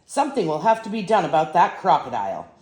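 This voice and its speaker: A furious department store manager, acted out by a woman reading a storybook, pompous and snappish. He gives orders in an indignant, emphatic way, with big swings in pitch, in a roomy, echoing space. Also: sing-song, fast, darkish tone. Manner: gasping indignant, commanding.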